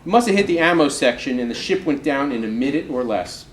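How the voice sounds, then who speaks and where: A man, an author, reads an oral history aloud in measured storytelling with emphatic phrasing. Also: mid-range voice, animated. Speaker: author reading from their book. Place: live reading in a roomy indoor space. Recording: roomy, echoing live recording with noise.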